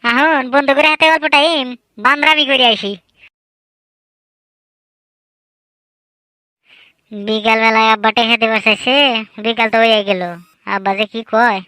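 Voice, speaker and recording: Cartoon village son , female performer: sly teenage boaster, high and piercing, chatty slang. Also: steady tone, a little fast, sharp tone, dry recording, low-quality recording. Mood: scheming. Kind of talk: comedy cartoon voice acting.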